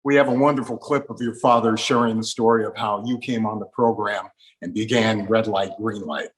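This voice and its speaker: A man's voice: fairly low pitch, fairly even intonation, brisk, rough recording. A man presenting an interview in prepared, orderly sentences.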